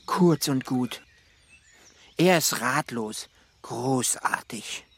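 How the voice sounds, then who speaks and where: A man's voice: mid-range voice, drawn-out words, breathy edge, heavily acted. A man playing an impatient, grumpy cuckoo in a children's radio play. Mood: exasperated. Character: impatient, grumpy male cuckoo bent on going to sea.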